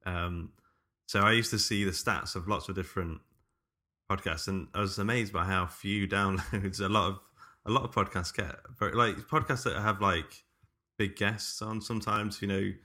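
Deep voiced guy co-hosting a podcast, bright edge, casual and kinda halting. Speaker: podcast co-host. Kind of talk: casual interview chat.

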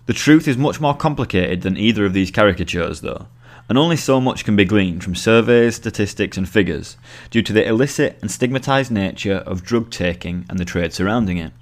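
A man's voice: fairly low pitch, lively intonation, fairly quick. A young British man reading his own written article aloud as scripted narration.

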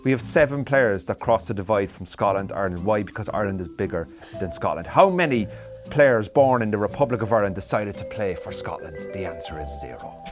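A man with a low voice makes an emphatic, argumentative case on a comedy panel show. Music can be heard clearly behind him. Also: expressive, brisk. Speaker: panel guest.

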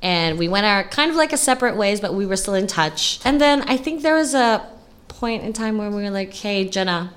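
A woman's voice: medium pitch, expressive, brisk, a little hiss or hum. A woman from Hong Kong, a guest and company co-founder, chatting in an interview in a playful, candid way and acting out stories with quoted dialogue. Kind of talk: casual interview chat.

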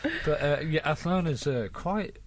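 Teasing male podcast host, lively pitch. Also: normal speed. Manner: teasing, dry. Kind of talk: casual podcast chat.

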